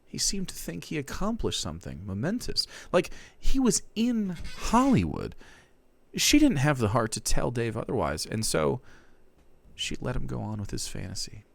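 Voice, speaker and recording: A man streaming, reading fan fiction aloud in a theatrical, comic way, shifting between hushed and loud. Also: medium pitch, wildly swooping pitch, normal speed, vocal fry.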